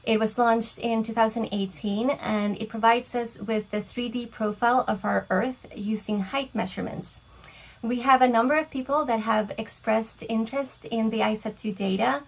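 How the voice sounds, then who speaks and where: Woman, interview guest, fairly high voice, explains with pauses and fillers. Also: fairly even intonation, creaky, low-quality recording. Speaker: interview guest, applications coordinator. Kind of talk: casual explanatory interview answers.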